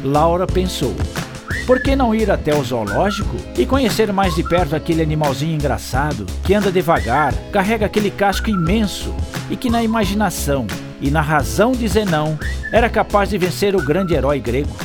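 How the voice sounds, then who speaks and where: A man's voice: high-pitched, expressive, brisk, music in the background. A man with a Brazilian accent narrating a scripted radio drama as a storyteller.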